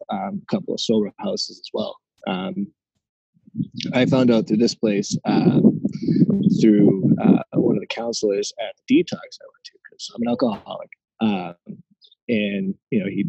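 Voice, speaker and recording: Gravelly, husky young man, halting. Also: on the low side, warm tone, no room sound, lo-fi sound. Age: 20s. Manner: hesitant, many fillers and restarts. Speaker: interview guest. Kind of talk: casual conversation.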